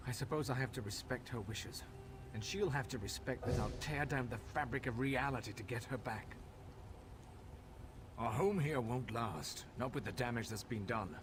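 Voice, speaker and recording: A man voices a devoted elf husband from a fantasy game who speaks with quiet, grim resolve. His voice sits at an ordinary mid pitch. A clear rumble runs underneath. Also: normal speed, lightly acted. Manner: frantic, then grimly resolved. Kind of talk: video game voice acting.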